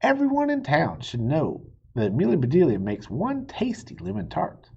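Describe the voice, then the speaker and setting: A man voicing a gruff, folksy, plainspoken cowboy type in a children's storybook. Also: fairly high pitch, huge pitch swings, moderate pace, darkish tone, dull audio, voice pushed up, exaggerated voice. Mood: approving.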